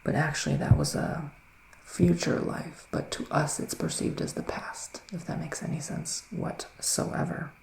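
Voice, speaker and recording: A man speaking in a hushed, breathy ASMR style that often slips into a whisper, soothing and reassuring as he plays a psychic witch giving a reading. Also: a little high, level tone, unhurried. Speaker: ASMR performer playing a psychic reader. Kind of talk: ASMR roleplay, improvised tarot reading. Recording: roomy echo, faint background noise.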